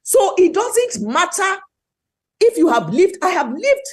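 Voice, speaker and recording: A woman's voice: very high voice, sing-song, a little slow. A middle-aged Nigerian woman with a Nigerian accent, giving an impassioned, emphatic talk at a webinar and repeating words for stress. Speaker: webinar speaker.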